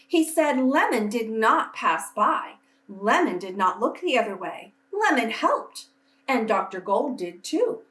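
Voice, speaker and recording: A woman storyteller with a fairly high voice reads to children at an unhurried pace. Her phrasing is deliberate and expressive. The sound is roomy. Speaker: storyteller reading aloud to children. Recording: roomy echo, distant microphone.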